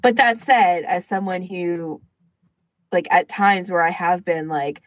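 A woman's voice: on the low side, slow pace, dry recording. A woman co-hosting a podcast, chatting casually and peppering her talk with "like". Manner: chatty, frequent "like" as filler. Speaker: podcast host. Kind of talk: casual chat among fan podcasters.

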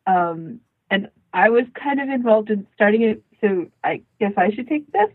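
A woman co-hosting a podcast in casual chat, often interrupting herself as she tells things in an animated way. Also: mid-range voice, moderate pace, narrow, tinny audio. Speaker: podcast co-host.

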